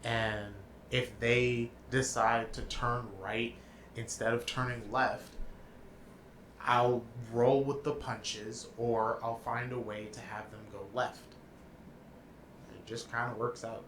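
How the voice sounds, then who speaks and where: A man's voice: medium pitch, flat delivery, slow pace, fairly bright tone. Guy hosting a podcast, hyped and laughing, roomy echoey sound. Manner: enthusiastic, laughs often. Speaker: podcast host. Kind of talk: casual podcast chat. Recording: roomy with faint background noise.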